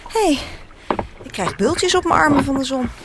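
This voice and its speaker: An actress playing a cheerful sister who travels the world, breezy and teasing, in a Dutch radio play. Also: female voice, sits high, expressive, moderate pace, heavy background noise. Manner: breezy and teasing, with frequent laughs. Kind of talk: radio drama acting.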